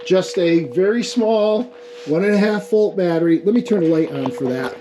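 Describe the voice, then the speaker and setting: Male hobbyist demonstrator, slightly high voice, informal and explanatory, with clear background noise on the recording. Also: moderate pace. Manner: explanatory, checks in with "okay" and "right". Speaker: amateur radio experimenter demonstrating equipment. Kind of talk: informal hands-on technical demonstration.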